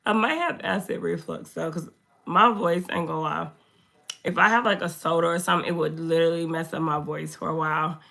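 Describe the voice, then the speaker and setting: Hoarse, gravelly young woman chatting with viewers. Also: fairly even intonation, fairly quick, sharp tone. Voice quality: low, slightly deep voice. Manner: chatty, talks to viewers, drifts off topic. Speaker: makeup streamer. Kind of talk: casual livestream chat reading comments.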